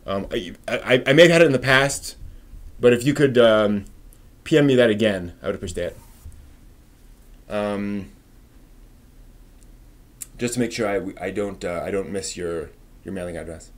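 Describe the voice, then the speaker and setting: A man's voice: lowish voice, moderate pace, pauses often. A man hosting a live stream, chatting casually with viewers in an informal, rambling way as he thinks aloud.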